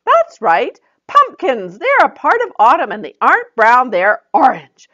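A woman's voice: high voice, wildly swooping pitch, a little slow, sharp tone, dull audio, voice pushed up, exaggerated voice. A woman voicing a helpful young fairy best friend in a storybook, coaxing and exclaiming with enthusiasm. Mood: encouraging.

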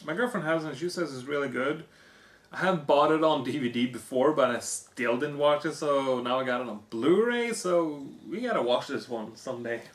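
A hoarse young man on a living room couch, casually reading off movie box features. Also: medium pitch, normal speed. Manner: reads off lists, offhand comments. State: hoarse, losing his voice. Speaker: vlogger. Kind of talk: casual unboxing chat. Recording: echoey room.

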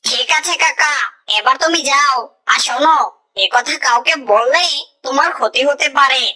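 A woman's voice: high voice, normal speed, bright, forceful tone. A woman voicing a greedy, scheming, impatient boy in a moral-story cartoon. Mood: curious, sly.

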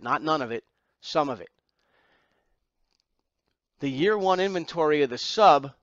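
Higher-voiced male instructor, dull lo-fi recording. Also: fairly bright tone, no echo. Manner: didactic, emphatic repetition with rhetorical questions. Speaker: accounting exam instructor. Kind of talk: lecture walking through slides.

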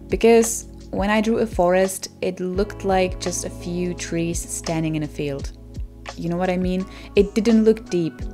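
Young Czech-accented woman, art vlog host; lowish voice, chatty, self-mocking, quick laughs. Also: darkish tone. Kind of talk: voice-over vlog narration.

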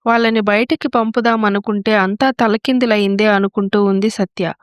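A woman's voice: medium pitch, steady tone, normal speed, smooth, no room sound, clean studio sound. A woman reading scripted narration for a family story.

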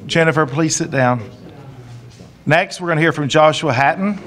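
Man moderating a meeting, brisk short prompts, average pitch, audible room noise. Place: community center meeting room.

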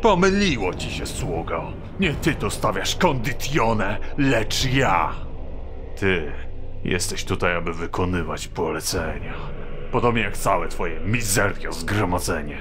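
A man's voice: low voice, expressive, slow pace, over music. A man playing a haughty, contemptuous cult official in a fantasy audio drama, speaking condescendingly in archaic, Latinate phrases. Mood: scornful. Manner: condescending, archaic speech peppered with Latinate words.

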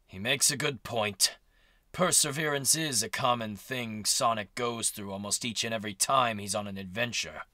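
A man's voice: on the low side, measured pace, pushed and bright, no room sound, clean studio sound. A man playing a matter-of-fact, combative male cartoon animal in a fan audio drama.

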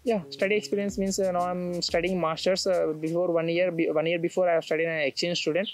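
A man's voice: high voice, flat delivery, fast talker, low-quality recording. A young man from India, an international student, answering interview questions outdoors on a campus, hesitant with many fillers and restarts. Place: outdoors on a tree-lined campus.

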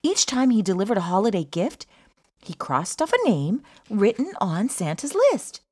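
A woman reading a children's book aloud as an expressive storyteller, with a sing-song, emphatic delivery of the rhymes. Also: medium pitch, normal speed, slightly rough.

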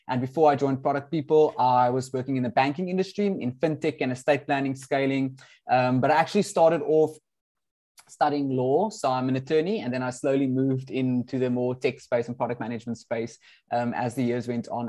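A man's voice: medium pitch, a little mellow. A man whose first language is Afrikaans, hosting an online product talk over a video call in a friendly way with many ums. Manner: friendly, filler-heavy with frequent ums. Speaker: host. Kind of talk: webinar introduction.

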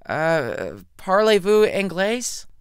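A man's voice: sits high, big pitch swings, takes it slowly, clean tone, no room sound, clean studio sound, exaggerated voice. A man voicing a heartbroken, self-pitying young male art student in a story, grumbling with melodrama and sardonic bite. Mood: dejected.

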